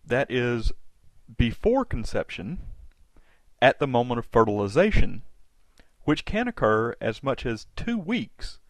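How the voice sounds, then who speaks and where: A man speaks as a guest in a radio discussion. He explains precisely and emphatically, with a widely moving pitch. The recording is dry, with little room sound. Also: big pitch swings, a little slow, no room sound.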